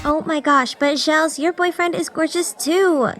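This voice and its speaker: A woman's voice: high voice, fairly even intonation, unhurried, fairly bright tone, voice pushed up. A woman voicing a dramatic, envious teenage schoolgirl in an audiobook, with a teasing, exaggerated way of talking. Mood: gushing, exasperated. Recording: music behind the voice.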